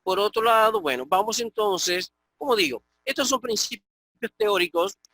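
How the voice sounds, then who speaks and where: A middle-aged man teaches a grammar lesson online in a bright, ringing voice set a little high. The sound is dry and close, with no room around it. He explains patiently step by step and keeps checking in with a quick "ok?". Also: dry recording. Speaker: Greek teacher.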